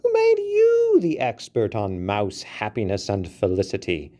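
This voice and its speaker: A man voicing an indignant little male mouse who argues in a raised, shrill voice. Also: huge pitch swings, a little slow, warm tone. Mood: lecturing. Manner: shrill, pleading, argumentative.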